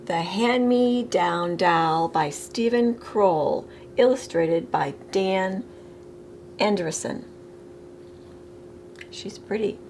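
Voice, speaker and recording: A woman reading a children's picture book aloud expressively, with deliberate phrasing, as a storytime reader. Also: medium pitch, darkish tone, slight background noise.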